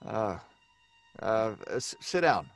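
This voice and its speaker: A man's voice: on the low side, sing-song, takes it slowly, faint music behind, full character voice. An actor playing an irritable, frazzled male writer in an old time radio drama, blustering and stammering with exasperation. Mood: wary, uneasy.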